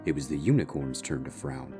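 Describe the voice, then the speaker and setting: Deep-voiced man narrating a story. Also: brisk, vocal fry. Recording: music bed behind the voice. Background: soft instrumental guitar music.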